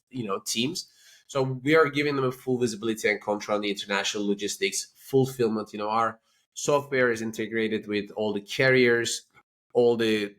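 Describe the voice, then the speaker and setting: A man with a Turkish accent talks as the guest of a business interview, speaking at an ordinary middle pitch. He explains things in an animated, talkative way and keeps leaning on "you know" and "like" as he goes. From Turkey. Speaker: company CEO, podcast guest.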